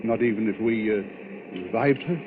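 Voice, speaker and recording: An actor playing a gruff, commanding, irritable older passenger in a 1940s radio drama. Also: male voice, on the low side, normal speed, lightly acted. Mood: probing, grave. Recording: tinny, noisy old radio recording.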